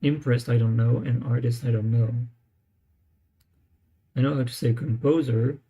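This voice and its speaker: A man talking casually during a live screen-share, thinking aloud with many pauses and fillers as he tries out words. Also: medium pitch, fairly even intonation, mellow, slightly rough. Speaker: language learner streaming live.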